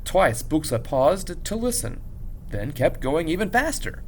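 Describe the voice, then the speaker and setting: A man reading a story aloud as a teacher would, in an expressive, measured narration with dramatic pauses. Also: on the high side, slight background noise.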